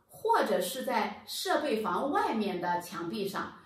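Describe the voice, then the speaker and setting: A woman's voice: medium pitch, very animated, unhurried. A woman in her thirties giving a patient, step-by-step home-heating lesson, speaking straight to viewers. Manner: patient, explanatory, addresses viewers directly. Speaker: HVAC channel presenter. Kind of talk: instructional explainer. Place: plain indoor room against a bare wall. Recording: very echoey room, voice from far off.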